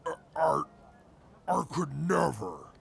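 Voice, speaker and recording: A heavily gravelled, slow-speaking super mutant, proud and stubborn. He grumbles in pompous, formal phrases that swing widely in pitch. The setting is a post-apocalyptic audio drama, with faint noise behind. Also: big pitch swings, heavily acted. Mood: indignant.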